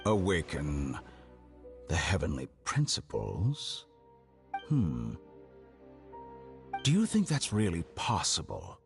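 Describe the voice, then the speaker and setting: A low-voiced, calculating male villain for a video game, with lively, swooping intonation. He is a scholar who talks with deliberate condescension and cool amusement, over clear background music. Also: exaggerated voice. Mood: amused, menacing. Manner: smooth, condescending, deliberate, mock-polite.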